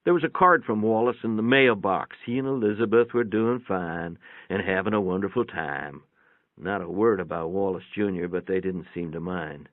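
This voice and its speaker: Through a narrow, phone-like recording with very little room sound comes a man reading fiction aloud. His voice sits on the low side, and he narrates in the first person with a folksy, matter-of-fact delivery. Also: moderate pace, no room sound.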